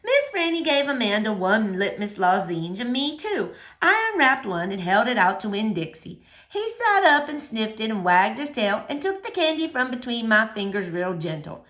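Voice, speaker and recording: A woman reading a children's book aloud as scripted, expressive narration. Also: on the high side, moderate pace, few pauses. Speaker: storybook reader.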